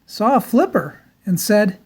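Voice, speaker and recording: Animated woman reading a children's story. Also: mid-range voice, breathy edge. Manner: theatrical, deliberate emphasis. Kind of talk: dramatic storybook read-aloud.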